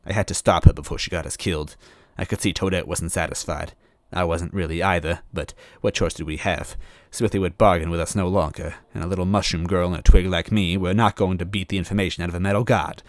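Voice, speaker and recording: A man's voice: deep voice, fairly even intonation, quick pace, no echo. A man reading a story aloud as a dramatic first-person narrator. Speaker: story reader.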